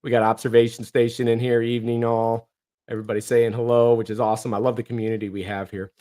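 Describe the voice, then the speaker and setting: Hoarse man hosting a casual livestream. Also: medium pitch, level tone, quick pace, mellow. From northeastern Ohio. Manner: rambling, many fillers and restarts. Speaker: livestream host. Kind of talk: casual livestream chat reading comments.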